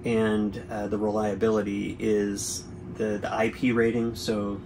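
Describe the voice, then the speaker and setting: A man's voice: lowish voice, completely flat delivery, a little slow, vocal fry, noisy background. Casual middle-aged man reviewing gear. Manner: easygoing, explanatory, frequent fillers. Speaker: boating channel host. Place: boat cabin with windows.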